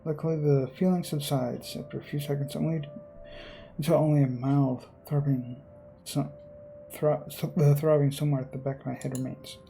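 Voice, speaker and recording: A man's voice: a little high, fairly even intonation, normal speed, creaky, dark tone, a little hiss or hum. A man hosting a game video, reading visual novel text aloud dramatically with casual commentary and some stumbles, game music behind him. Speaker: gaming video host.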